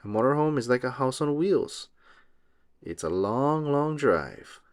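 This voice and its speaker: A man reading a children's picture book aloud as an expressive storyteller, drawing out words for effect. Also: medium pitch, measured pace. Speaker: read-aloud host.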